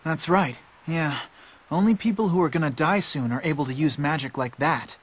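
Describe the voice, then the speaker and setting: A man's voice: on the high side, moderate pace, a bit bright, slightly rough. A man voicing a streetwise, cynical boy in a video game, blunt and matter-of-fact, like a bossy older friend. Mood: knowing, blunt. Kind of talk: video game cutscene voice acting.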